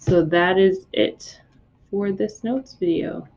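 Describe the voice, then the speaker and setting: A woman's voice: mid-range voice, fairly even intonation, slow, vocal fry, darkish tone. A woman teacher who is getting over a cold gives a casual notes lecture, with many ums and likes. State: recovering from a cold. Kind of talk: recorded classroom notes lecture. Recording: dull lo-fi recording.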